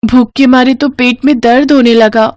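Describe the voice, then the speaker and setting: A woman's voice: a little high, fairly even intonation, moderate pace, a little mellow, no echo, clean studio sound, voice pushed up, natural voice. A woman voicing an innocent six-year-old orphan village girl, childlike and earnest, in a devotional storybook tale. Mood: sad, hungry. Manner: childlike, pleading, curious. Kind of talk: Hindi devotional storybook acting.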